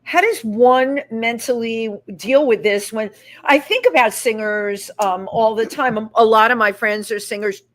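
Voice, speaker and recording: A woman hosting a live talk show from a restaurant, chatty and animated, often finishing her guest's sentences. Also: on the high side, measured pace.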